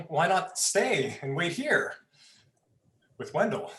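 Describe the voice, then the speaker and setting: A man's voice: a little high, sing-song, drawn-out words, fairly bright tone, roomy sound, heavily acted. A man playing a sadistic, mocking young predator in a horror play reading, taunting with false politeness. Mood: predatory, creepy.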